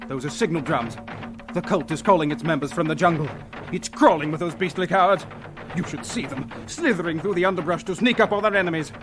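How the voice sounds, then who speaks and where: An actor playing an agitated captive professor in a radio adventure serial, urgent and stammering. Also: male voice, on the high side, a little fast, music in the background, natural voice. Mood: grim, fearful. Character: frightened male professor, rescued captive expedition leader. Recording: old radio drama recording.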